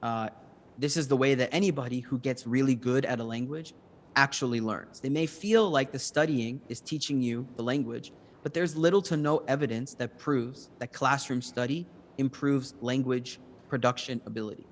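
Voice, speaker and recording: A man's voice: mid-range voice, moderate pace. Man presenting, with long hall reverb. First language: English. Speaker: start-up presenter. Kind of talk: answering judges' questions after a pitch.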